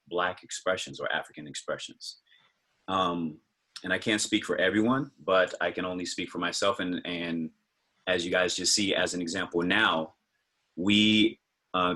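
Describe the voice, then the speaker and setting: A man's voice: sits low, sharp tone, husky. An American man, a capoeira teacher on a video-call panel, giving his personal opinion in a thoughtful, hesitant way. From United States. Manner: thoughtful, hesitant with fillers and restarts.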